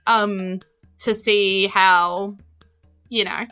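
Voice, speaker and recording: A woman's voice: medium pitch, fairly even intonation, extremely slow, bright, forceful tone, clean tone, soft background music. New Zealand woman running a tabletop game. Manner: explanatory, loose and informal. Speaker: game master. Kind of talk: narrating a tabletop game, conversational.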